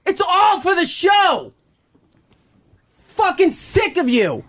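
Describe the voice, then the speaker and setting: A man with a high voice argues defensively in a very dry-sounding space. His pitch moves a lot as he makes his exasperated, profane case as a radio staffer. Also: wildly swooping pitch, slow, fairly bright tone, no room sound, shouting. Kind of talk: heated workplace argument.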